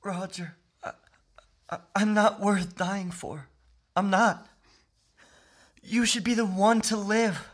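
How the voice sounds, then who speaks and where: A man's voice: high-pitched, steady tone, takes it slowly, long pauses. A man plays an anguished young man in an audio drama, racked with guilt and fear. His youthful voice pleads and stammers, overwrought as he speaks to his best friend. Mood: guilty confession. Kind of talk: emotional audio drama acting.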